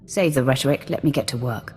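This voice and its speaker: A woman's voice: extremely low pitch, quick pace, a little mellow. A woman voicing an arrogant, ruthless Sith apprentice in a video game, with a cold, curt and haughty manner. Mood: haughty, eager. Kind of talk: video game cutscene acting. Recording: game music behind the voice.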